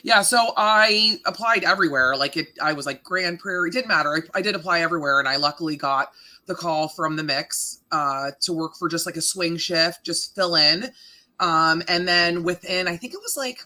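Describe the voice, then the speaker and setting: A woman in her thirties from Canada with a deep voice, a radio morning host chatting casually in an interview, laughing often and filling with ums. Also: moderate pace, bright, forceful tone. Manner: chatty, laughing, self-deprecating, many fillers.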